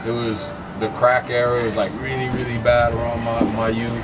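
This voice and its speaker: A man's voice: medium pitch, flat delivery, a little fast, loud music behind the voice. Easygoing middle-aged man, distant mic. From Hartford, Connecticut, US. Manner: easygoing, reminiscing, many fillers and restarts. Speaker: interview guest. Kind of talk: casual interview.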